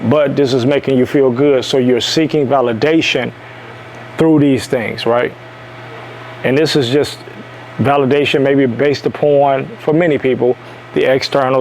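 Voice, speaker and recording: A man from Detroit lectures his viewers in a self-help monologue, repeating key lines to drive them home. His voice sits at a middle pitch. A faint hum of background noise runs under it. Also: a little mellow, poor audio. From Detroit, USA. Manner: emphatic, repeats lines for stress. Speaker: self-help video host. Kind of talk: preachy motivational monologue.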